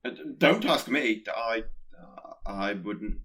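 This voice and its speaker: A man's voice: fairly low pitch, expressive, slow, fairly bright tone, slightly rough, exaggerated voice. An actor in a radio play playing a nervous, awkward Pestilence who hesitates and stammers as he secretly admires catastrophe. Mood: nervous, evasive.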